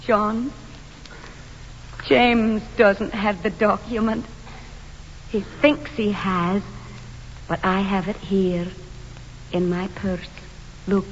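Yearning, determined woman in a play, high voice, dull echoey hall recording. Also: lively intonation, moderate pace, a bit bright, slight background noise. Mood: tense, subdued. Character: plain, devoted, self-doubting, determined Scottish woman. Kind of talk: stage drama acting.

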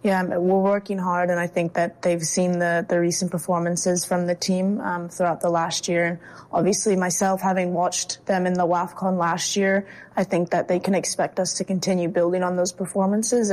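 Thoughtful woman player, measured speech. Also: lowish voice, little pitch movement, a little mellow, poor audio. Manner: thoughtful, measured, many restarts. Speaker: national team player. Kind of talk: interview answers.

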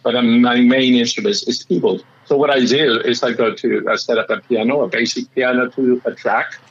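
A man with a slightly raspy voice, a musician chatting excitedly in an interview and often restarting his sentences. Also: mid-range voice, moderate pace, muffled recording. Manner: excitable, rambling with many restarts. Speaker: musician guest. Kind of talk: casual interview chat.

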